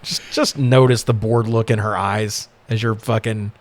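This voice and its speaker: A man's voice: on the low side, fairly even intonation, normal speed. A man co-hosting a podcast, casually recapping a movie with profane, exasperated sarcasm. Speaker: podcast co-host.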